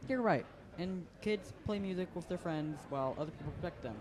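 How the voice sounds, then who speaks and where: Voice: mid-range voice, animated, brisk, a little mellow, lightly acted. A performer acting a blunt, protective young friend in a naturalistic audio drama. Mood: encouraging. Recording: crowd murmur behind.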